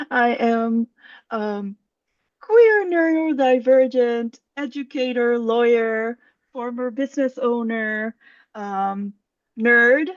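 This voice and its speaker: A woman coach from Puerto Rico, speaking gently and thoughtfully in a casual chat. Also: fairly high pitch, no echo. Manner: slow, gentle, thoughtful with fillers. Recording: dry, dull lo-fi mic.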